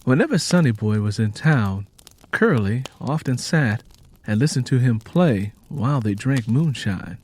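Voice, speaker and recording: A man's voice: medium pitch, lively intonation, measured pace, a little mellow, slightly rough. A man reading a scripted story as an audiobook narrator.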